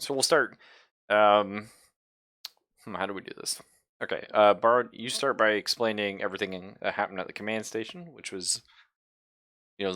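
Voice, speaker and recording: A man's voice: fairly low pitch, sharp tone, dry recording, clean studio sound. A man chatting casually with a group as the game master of an online tabletop role-playing game. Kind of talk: casual group chat while running a game.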